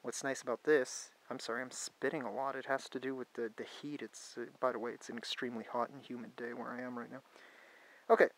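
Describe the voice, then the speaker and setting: A man's voice: medium pitch, flat delivery, a little fast, rough recording. Chatty congested man reviewing a phone. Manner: chatty, thinks aloud, frequent restarts. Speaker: tech reviewer. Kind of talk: casual hands-on phone review.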